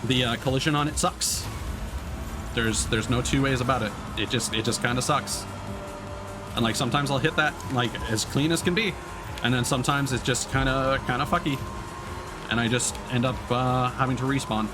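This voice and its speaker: A man's voice: medium pitch, fairly even intonation, moderate pace. Casual male game streamer over music. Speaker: video game livestreamer. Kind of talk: casual live gaming commentary.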